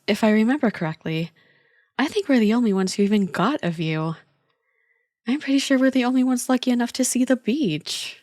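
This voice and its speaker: A woman's voice: fairly high pitch, a little mellow, dry recording, studio quality, natural voice. A woman playing a friend's teasing older sister in a casual, intimate ASMR roleplay, chatty, playful and reassuring. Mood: pleased, upbeat.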